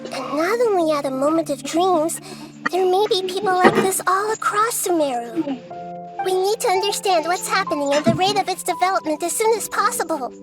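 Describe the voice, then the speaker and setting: A small, gentle girl deity in a video game. The high voice is thoughtful and composed, choosing formal words with care over background music. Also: a little fast. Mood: serious. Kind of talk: video game character dialogue.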